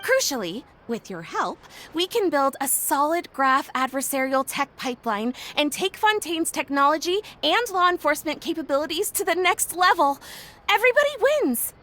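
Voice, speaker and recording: A woman's voice: sits high, very animated, normal speed, sharp tone, full character voice. A woman playing an eager, dramatic young female researcher in a video game, with a gushing, theatrical salesy manner. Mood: eager pitching. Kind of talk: video game character dialogue. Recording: background music under the voice. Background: game background music.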